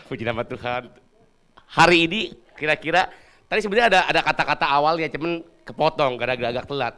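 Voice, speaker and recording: A man in his thirties giving a short, casual sermon, hoarse after drumming, joking with the congregation and laughing often. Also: fairly high pitch, lively intonation, fast talker, bright, forceful tone. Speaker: worship speaker, church musician.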